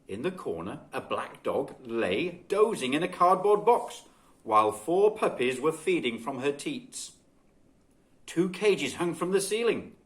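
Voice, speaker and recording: A man reading a children's story expressively and dramatically, with deliberate phrasing. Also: on the high side, very animated. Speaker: guest storybook reader.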